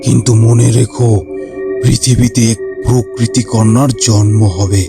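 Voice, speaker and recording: Man acting as solemn cartoon sage, gravelly voice, weighty delivery, music behind. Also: medium pitch, level tone, a little slow. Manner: solemn, pronouncing. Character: solemn male ascetic sage granting boons. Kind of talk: children's fairy tale cartoon dialogue.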